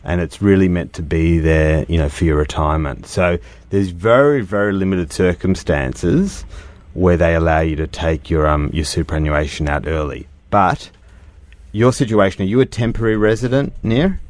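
A man's voice: sits low, moderate pace, a little mellow, no room sound. A middle-aged man hosting a radio show, chatty, explaining things patiently with plenty of fillers. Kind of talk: casual radio chat.

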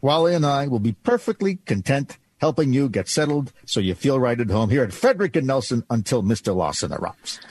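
An actor playing a helpful, scheming department store employee in an old-time radio drama, with folksy, persuasive patter. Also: male voice, mid-range voice, very animated, a little fast, hardly any pauses, darkish tone, breathy edge.

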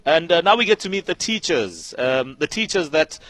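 A radio host man, talking in a bright, forceful tone. His voice swings high and low as he jokes and teases, and he sits a little higher than most men. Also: sing-song, normal speed, no echo, low-quality recording. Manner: playful, teasing, laughs often. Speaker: radio breakfast show host. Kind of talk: lively live radio chat. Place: school, outside broadcast.

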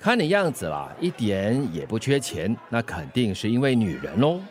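A man with a Singaporean accent playing a nosy, jovial, worldly-wise uncle in a radio drama, teasing with drawn-out exclamations. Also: fairly low pitch, very animated.

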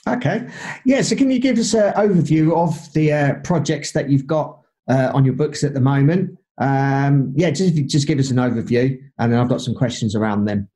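A man's voice: medium pitch, moderate pace, hardly any pauses, warm tone. A man hosting an interview podcast who introduces his guest and asks chatty questions full of fillers. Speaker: podcast host.

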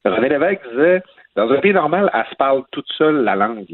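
A man with a Quebec French accent talking casually on the radio as a guest, relaxed and hesitant with many fillers. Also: medium pitch, fast talker, no echo. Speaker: columnist guest. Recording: phone line.

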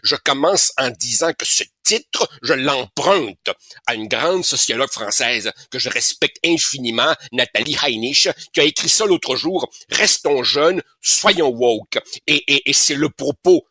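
A man's voice: mid-range voice, expressive, measured pace, pushed and bright, breathy edge, no echo. A man in his sixties with a Québécois accent, giving opinionated radio commentary, emphatic and full of stammered repetitions. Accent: Québécois French. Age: 60s. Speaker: columnist.

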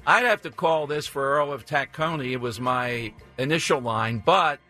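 Bright-voiced Philly talk radio host. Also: male voice, medium pitch, moderate pace, faint music behind. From South Philadelphia. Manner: joking, teasing callers. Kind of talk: casual talk radio banter.

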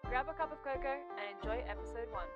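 A woman's voice: high-pitched, quick pace, lo-fi sound. A woman reading a scripted podcast introduction as the announcer. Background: loud plucked guitar music.

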